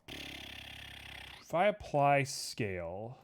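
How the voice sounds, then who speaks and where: A man's voice: mid-range voice, very slow, vocal fry, a little hiss or hum. A young man streaming live, chatting casually and rambling to his viewers while building a 3D scene. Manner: relaxed, rambling, talks to chat and to objects on screen.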